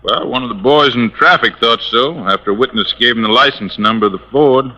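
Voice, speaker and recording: Forceful, sharp American police lieutenant. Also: male voice, medium pitch, brisk, highs cut off. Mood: firm, suspicious. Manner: folksy, firm, procedural. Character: plainspoken male police lieutenant, suspicious but sympathetic. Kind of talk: 1950s radio drama acting. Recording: old-time radio recording.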